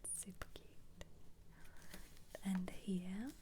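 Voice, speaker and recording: A woman speaking in a soft, breathy, mostly whispered ASMR style, chatting casually and gently as she muses aloud over what she is looking at. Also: mid-range voice, extremely slow. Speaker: ASMR video creator. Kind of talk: ASMR, soft-spoken casual book flip-through commentary.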